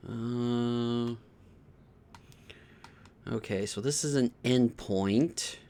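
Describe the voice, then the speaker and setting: A man's voice: medium pitch, drawn-out words, warm tone. A man doing casual game stream commentary, thinking aloud and often repeating words as he puzzles things out.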